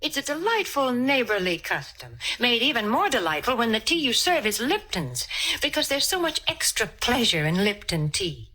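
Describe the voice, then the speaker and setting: A woman's voice: on the high side, sing-song, normal speed, sharp tone. A woman delivering a scripted 1940s radio advertisement in a genteel, polished manner. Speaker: sponsor's spokeswoman.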